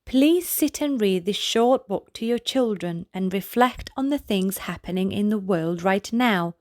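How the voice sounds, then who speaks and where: Lady reading a kids bedtime story, really slow n gentle, kinda high voice, dry close sound. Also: no room sound. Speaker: children's storybook reader, channel host. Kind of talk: read-aloud bedtime story narration for children.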